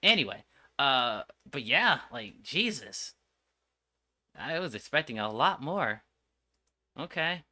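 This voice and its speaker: Very bright-voiced man, streaming reactions. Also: medium pitch, very animated, takes it slowly, long pauses. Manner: slangy, exasperated, repetitive. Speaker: streamer reacting to game trailers. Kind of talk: casual reaction livestream commentary.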